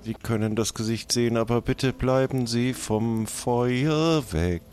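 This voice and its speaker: A man playing a formal, businesslike undertaker with a deliberate, dry manner in an improvised role-play comedy. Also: mid-range voice, a little mellow, close to an everyday voice.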